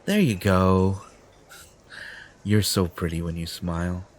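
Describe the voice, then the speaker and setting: A man's voice: low voice, slow, slight background noise. A man playing a smug, possessive, entitled superhero in an audio roleplay, pompous and condescending in manner. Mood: smug, coaxing. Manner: pompous, self-satisfied, condescending. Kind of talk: audio roleplay acting addressed to the listener.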